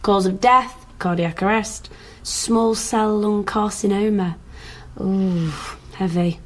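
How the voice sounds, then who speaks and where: Dry, flippant British woman, mid-pitched, brusque and blunt, radio drama sister. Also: steady tone, takes it slowly. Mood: dry, businesslike. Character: sardonic, blunt, pregnant younger sister, an investigator. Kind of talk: naturalistic radio drama dialogue.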